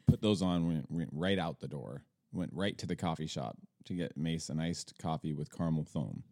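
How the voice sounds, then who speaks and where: A man hosting a podcast in a casual morning chat, groggy and halting, laughing often and restarting his phrases. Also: a bass voice, darkish tone, dry recording, studio quality. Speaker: podcast host.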